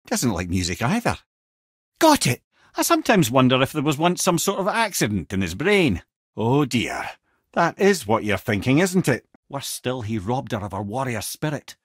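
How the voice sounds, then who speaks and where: A witty old Scottish storyteller, a learned talking head from a fantasy video game. His delivery swings widely in pitch, wry and wordy with old-fashioned turns of phrase. The sound is close and dry. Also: breathy edge, dry recording. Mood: wry, companionable. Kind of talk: video game character dialogue, storytelling and battle callouts.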